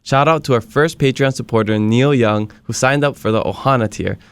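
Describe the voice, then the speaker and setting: A man's voice: medium pitch, brisk, clean tone, no room sound. A man from Hilo, Hawaii, whose first language is Hawaiian, hosting a casual podcast interview and laughing often. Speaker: podcast host.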